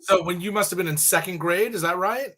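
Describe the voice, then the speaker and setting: A woman's voice: fairly low pitch, fairly quick, fairly bright tone. A woman hosting a talk radio show, chatting casually and eagerly as she interviews a guest.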